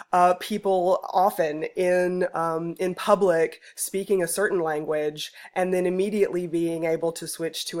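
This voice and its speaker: A woman giving an informal narrated presentation as a researcher, explaining things in a halting way with pauses mid-phrase. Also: lowish voice. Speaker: student researcher presenting an ethnography project.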